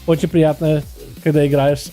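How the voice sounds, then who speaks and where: Game streamer, male, American accent, hesitant mixed Russian and English, warm tone. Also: on the high side, little pitch movement, soft background music. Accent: American, speaking Russian. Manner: halting, searching for words, switches between Russian and English. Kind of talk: casual livestream chat.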